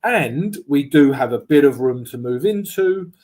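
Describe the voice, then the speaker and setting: A man with a slightly croaky voice, hosting a live trading stream in a lively, joking, chatty way. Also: mid-range voice, measured pace. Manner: lively, joking, chatty with viewers, frequent "right?" and "guys". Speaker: forex trading livestream host. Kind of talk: casual live trading chat. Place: home setup abroad, streaming live.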